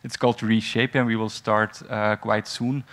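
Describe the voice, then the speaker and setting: A man speaking on a conference panel, measured and hesitant with many fillers and restarts. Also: on the low side, flat delivery, fairly bright tone. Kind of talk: panel discussion answer.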